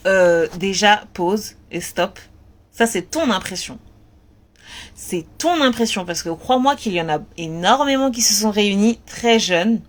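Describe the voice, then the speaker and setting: A woman talking earnestly and directly to her listeners, repeating words and pausing on fillers as she gives spiritual advice. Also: medium pitch, expressive. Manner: earnest, repetitive, lots of fillers. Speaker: spiritual advice content creator. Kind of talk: casual talk.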